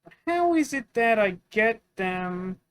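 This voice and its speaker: A man's voice: sits high, sing-song, takes it slowly, a bit bright, clear, resonant tone. A man doing casual let's play commentary, musing and thinking aloud as he works through puzzles. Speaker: gaming video host.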